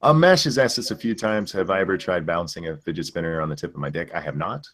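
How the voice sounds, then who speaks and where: A man's voice: sits low, fast, fairly bright tone. A man hosting a livestream in blunt, crude, casual chat. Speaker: livestream host.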